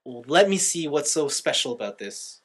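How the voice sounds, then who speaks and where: A man's voice: on the high side, big pitch swings, moderate pace, a bit bright. A man co-hosting a podcast, chatting casually and enthusiastically with strong opinions as a fan. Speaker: podcast co-host. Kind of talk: casual fan chat about anime.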